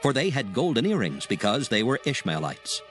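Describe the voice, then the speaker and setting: A male narrator of dramatized scripture with a mid-pitched voice. His solemn, measured storytelling rises and falls expressively, with music clearly playing beneath it. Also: sing-song.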